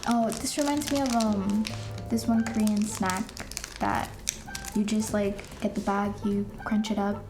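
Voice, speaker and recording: A woman's voice: medium pitch, fairly even intonation, measured pace, music in the background. A young woman from Boston, a student guest, curiously asking questions in casual chat. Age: young adult.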